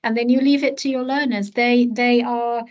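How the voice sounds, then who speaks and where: A woman's voice: on the high side, little pitch movement, moderate pace, muffled recording. A woman from Palestine, a language-education academic, speaking earnestly as an interview guest, with frequent fillers and repeated words. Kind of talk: reflective interview conversation.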